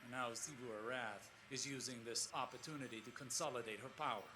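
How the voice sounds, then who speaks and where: An actor playing a thoughtful, earnest male explorer, lonely and weary, recording measured, formal, reflective logs for a video game. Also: mid-range voice, noisy background, close to an everyday voice. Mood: grave, awed. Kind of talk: scripted audio-log narration in a video game.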